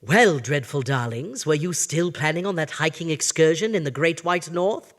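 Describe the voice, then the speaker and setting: An actor playing a campy theatrical horror host who introduces scary tales in a drawn-out, mock-sinister manner. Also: medium pitch, animated, lightly acted. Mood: mock-sinister relish. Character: campy theatrical horror-show host greeting "dreadful darlings".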